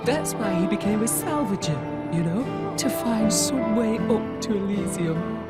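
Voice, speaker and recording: An actress playing a sympathetic, protective villager in a video game cutscene, speaking in a sombre manner. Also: female voice, medium pitch, animated, measured pace, over loud music, natural voice.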